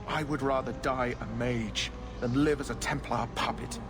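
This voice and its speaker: A man's voice: mid-range voice, steady tone, normal speed, heavy background noise, natural voice. A man playing a doomed mage in a video game, anguished and pleading, with urgent wonder in his delivery. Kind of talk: dramatic video game dialogue acting.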